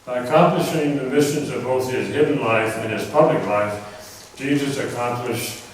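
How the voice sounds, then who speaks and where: A soft-spoken man giving a rambling religious talk to an audience, often clearing his throat. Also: mid-range voice, normal speed, slight background noise. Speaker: religious speaker. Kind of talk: informal lecture to an audience. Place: meeting hall before a live audience. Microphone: microphone through a speaker system. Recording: echoey hall, heard from far off.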